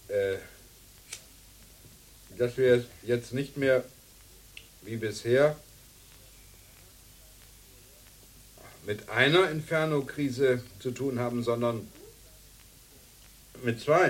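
A male actor as a seminar leader in a radio drama, speaking very slowly. He is measured and drily ironic, feeling his way through academic points with hesitant pauses, at an ordinary male pitch. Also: close to an everyday voice, poor audio. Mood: dryly sceptical.

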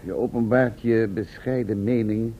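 An actor playing a polite, sceptical expedition companion with a dry, slightly hesitant manner in an old radio play. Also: male voice, steady tone, moderate pace, natural voice. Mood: dry, reasonable. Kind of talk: vintage Dutch radio play acting. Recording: muffled old radio recording with faint rumble.

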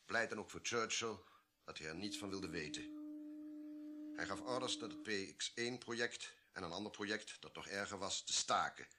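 An actor playing an authoritative, unsentimental government official in a Dutch radio drama, explaining grim secrets emphatically. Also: male voice, on the low side, moderate pace, noisy background, natural voice. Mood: grave, confiding.

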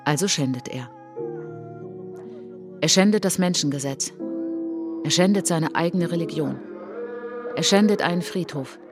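A woman performing a solemn narrator in a literary radio drama, speaking in measured, dramatic short phrases. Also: lowish voice. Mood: grim. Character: solemn woman narrator recounting colonial atrocities as a traveller. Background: prominent music behind the voice.

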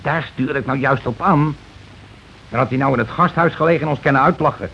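An actor playing a domineering, self-justifying working-class husband in a radio play, talking in an insistent, colloquial, repetitive way. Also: male voice, mid-range voice, a little fast, vocal fry, natural voice. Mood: blustering. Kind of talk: Dutch radio play acting. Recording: dull, noisy old radio recording.

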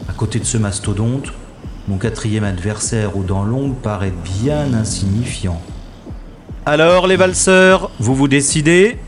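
A man's voice: mid-range voice, lively intonation, unhurried, a little hiss or hum, lightly acted. A man playing a cocky, wry special agent who narrates his own scrapes theatrically in a science-fiction audio drama. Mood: wary, cocky. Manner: theatrical, slangy, self-narrating. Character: wry, cocky male special agent, talking himself through trouble. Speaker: lead actor in an audio drama. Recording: music under the voice. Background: music.

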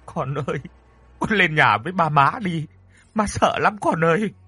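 Storybook role: weepy, frightened old wife and mother, played by a male narrator, pleading, raised husky voice. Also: fairly high pitch, lively intonation, unhurried, pushed and bright, dry recording, pitched above the performer's own voice, exaggerated voice. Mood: tearful. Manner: tearful, pleading, repetitive. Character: frightened, weeping elderly mother, anxious and pleading.

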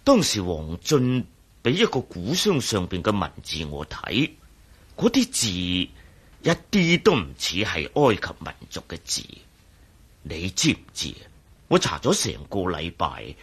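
Husky, hesitant old-school professor, swooping pitch. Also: male voice, fairly bright tone, full character voice. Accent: Hong Kong Cantonese. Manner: halting, pondering, given to murmurs. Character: hesitant, scholarly professor of ancient scripts. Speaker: voice actor in a radio drama. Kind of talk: Cantonese radio drama acting.

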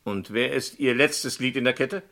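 An actor playing a keen, obliging French lawyer in a vintage German radio crime drama, speaking precisely and with eager curiosity. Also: male voice, medium pitch, normal speed, sharp tone.

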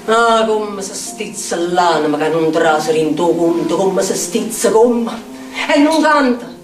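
An actress playing a tormented street outcast in a theatrical monologue, speaking incantatory, repetitive lines with a Neapolitan accent. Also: female voice, on the low side, lively intonation, a little slow. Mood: anguished. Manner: incantatory, dramatic, repetitive phrasing. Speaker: stage actress. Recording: echoey distant stage sound with music. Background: plucked guitar music.

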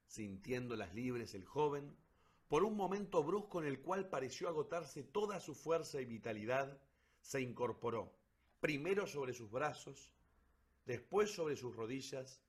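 Man narrating old literary tale for radio theatre, middle pitch, serious dramatic delivery. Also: slightly rough.

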